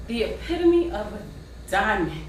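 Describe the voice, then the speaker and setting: An actress playing a fiery, wronged woman confessing a painful secret in a dramatic, drawn-out stage monologue. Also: medium pitch, big pitch swings, lightly acted. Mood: anguished, awed. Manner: dramatic, emphatic, drawn-out delivery with long pauses. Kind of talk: theatrical stage monologue with singing. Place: stage in a theater hall. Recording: distant, echoey, noisy stage-play recording.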